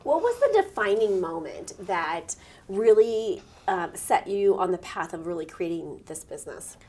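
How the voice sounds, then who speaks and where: A woman's voice: medium pitch, very animated, measured pace, darkish tone. A woman hosting a talk show, reading a scripted intro and then interviewing her guest in an upbeat, encouraging way.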